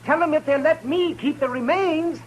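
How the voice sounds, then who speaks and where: An actor playing a greedy, ghoulishly cheerful frontier doctor, chatty and gleeful, in a 1950s radio drama. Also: male voice, very high voice, moderate pace. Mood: sly, amused. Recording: noisy old radio broadcast recording.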